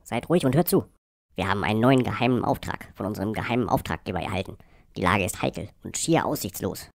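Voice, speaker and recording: A man's voice: on the low side, lively intonation, fast, gravelly, no echo. A man playing a bossy cartoon secret-agency chief, giving commanding, mock-serious briefings. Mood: stern, urgent. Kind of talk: satirical cartoon dialogue.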